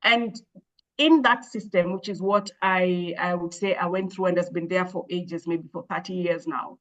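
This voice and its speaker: A woman, an education expert, answering interview questions in a measured, thoughtful way with frequent hesitations and restarts. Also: fairly low pitch, steady tone, fairly bright tone, muffled recording. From Kenya. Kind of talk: explaining in an interview.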